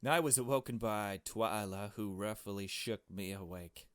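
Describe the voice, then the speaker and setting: A man's voice: lowish voice, unhurried, fairly bright tone, no echo, studio quality. A man reading a visual novel aloud as he plays, narrating casually with off-the-cuff asides.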